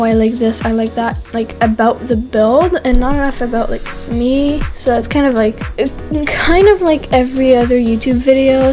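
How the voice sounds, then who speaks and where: Teen girl, high, giggly rambling voiceover, slightly stuffy nose, desk mic with music behind. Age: teens. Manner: chatty and rambling, giggles often. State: a bit congested. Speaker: gaming YouTuber. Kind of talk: voiceover commentary for a building video. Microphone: USB condenser mic.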